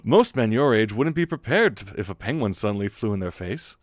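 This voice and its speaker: A man's voice: lowish voice, big pitch swings, a little fast, no echo, clean studio sound. A man voicing an easygoing, casual male detective. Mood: amused.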